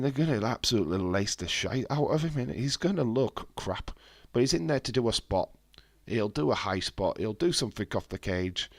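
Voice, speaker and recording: A man's voice: lowish voice, no room sound, studio quality. A man with a head cold, chatting casually and bluntly on a live stream, swearing freely and answering viewers' messages. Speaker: wrestling watch-along streamer.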